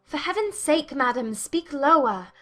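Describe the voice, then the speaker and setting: A woman as a high-voiced, mortified young daughter. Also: lively intonation, measured pace, a bit bright, soft background music. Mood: urgent, embarrassed. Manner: urgent, pleading. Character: anxious, mortified young daughter pleading urgently. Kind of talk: dramatized audiobook acting.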